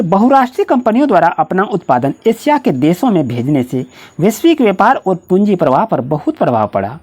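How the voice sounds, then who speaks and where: A woman's voice: lowish voice, darkish tone. A woman with an Indian accent teaching school students, dictating exam answers point by point in an instructional way.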